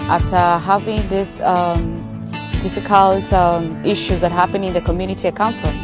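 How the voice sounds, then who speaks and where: A woman's voice: on the low side, steady tone, moderate pace. A woman from Kenya, speaking earnestly in a recorded video, with some fillers. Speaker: scout, youth activist. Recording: video clip with guitar music behind. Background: guitar music.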